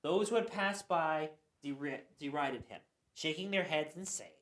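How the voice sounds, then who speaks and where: A man's voice: on the high side, unhurried, a bit bright. A man narrating scripture for a church radio play, reading earnestly and sometimes stumbling. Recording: echoey room.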